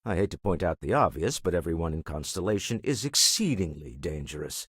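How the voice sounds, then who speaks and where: An older businessman and explorer from a video game, shrewd, principled and wry. His voice is husky, with lively, swooping intonation. He speaks in articulate, formal phrases, recorded dry with no room sound. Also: sits low, no room sound. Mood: dry, skeptical. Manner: articulate, measured, dryly formal. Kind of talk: video game character dialogue.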